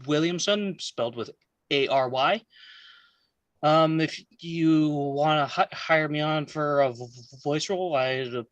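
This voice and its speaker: A man's voice: on the high side, fairly bright tone, no echo. A man with a stutter, an aspiring voice actor casually introducing himself.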